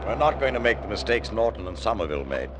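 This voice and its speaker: An actor playing a resolute expedition climber with a clipped, commanding manner in a 1950s radio drama. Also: male voice, lowish voice, big pitch swings, fast talker. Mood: determined. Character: resolute male British expedition climber planning a summit attack. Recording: noisy old radio recording.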